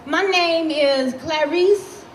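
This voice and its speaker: A woman's voice: very high voice, takes it slowly, voice pushed up. A woman reading aloud in character as a blunt, defiant sixteen-year-old girl from Harlem, with a streetwise, profane way of talking. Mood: matter-of-fact. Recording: echoey room with faint noise.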